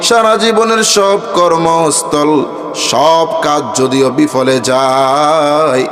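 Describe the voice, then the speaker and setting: Casting: male Bangladeshi Islamic preacher, high-set voice, impassioned sing-song sermon delivery over an echoing PA. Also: steady tone, a bit bright, soft background music. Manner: impassioned, drawn-out calls to the audience. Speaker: Islamic preacher at a religious gathering. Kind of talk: Bengali waz sermon, melodic chanting delivery.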